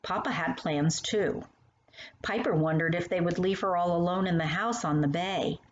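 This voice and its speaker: A woman's voice: fairly low pitch, normal speed. A woman reading her own storybook aloud for young children, addressing the little listeners directly. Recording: dull lo-fi sound with faint noise.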